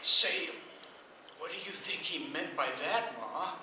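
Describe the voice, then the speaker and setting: Eager, folksy American bumbler: high-pitched, slow and halting, simple and loyal, vintage radio soap. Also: male voice, expressive. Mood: excited, curious. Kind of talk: old-time radio soap opera acting. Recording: echoey, distant, hissy old radio broadcast.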